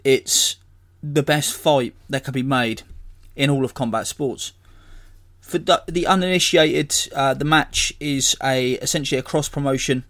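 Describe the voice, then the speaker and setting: A hoarse man, hosting a casual solo podcast and rambling as he corrects himself. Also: mid-range voice, unhurried, a bit bright. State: hoarse from illness. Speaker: podcast host.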